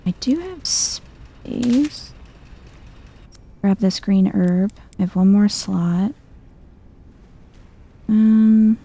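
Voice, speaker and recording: A woman streams a game in a soft ASMR style, thinking aloud in a low-key way. Her voice is very dark and relaxed, with a creaky fry at the edges. She takes her time, speaking slowly and gently. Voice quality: soft, hushed, husky. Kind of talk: soft-spoken ASMR gameplay commentary.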